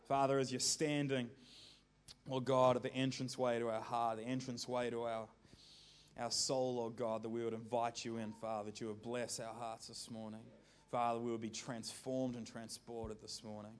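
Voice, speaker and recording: An Australian man giving an informal sermon as a visiting preacher, casual and joking, halting at times and moving between soft and loud. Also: mid-range voice, little pitch movement, clean tone.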